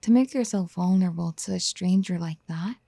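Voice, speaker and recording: A woman acting a doting, possessive motherly figure in a soft-spoken ASMR roleplay, soothing with breathy half-whispers. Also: on the low side, fairly quick, no room sound, studio quality. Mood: gentle encouragement.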